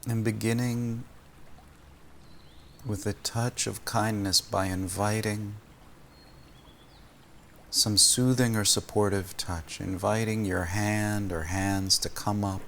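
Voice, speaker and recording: Slow, low-voiced man guiding meditation. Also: fairly even intonation, a little hiss or hum. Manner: gentle, soothing, unhurried phrasing with long pauses. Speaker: meditation teacher leading a body scan. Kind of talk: guided meditation.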